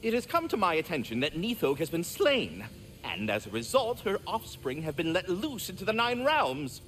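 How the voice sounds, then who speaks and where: A man's voice: high-pitched, huge pitch swings, moderate pace, a bit bright, a little hiss or hum, exaggerated voice. An actor voicing a courteous, wordy, fatherly giant squirrel with flowery formal speech in a video game. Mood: earnest. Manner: verbose, formal, flowery diction. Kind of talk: theatrical video game acting.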